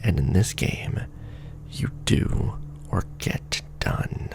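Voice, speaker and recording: A man playing a casually menacing secret-society recruiter in an ASMR roleplay, with a breathy, mostly whispered, gravelly voice and sardonic, conspiratorial patter, close on the microphone. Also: slow, close to an everyday voice. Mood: solemn, menacing. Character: casually menacing Illuminati recruiter onboarding a new initiate.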